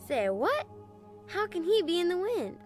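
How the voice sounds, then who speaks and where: A woman playing a curious, easily puzzled young girl cadet in a children's audio drama, blunt and expressive. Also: extremely high pitch, normal speed, soft background music. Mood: baffled.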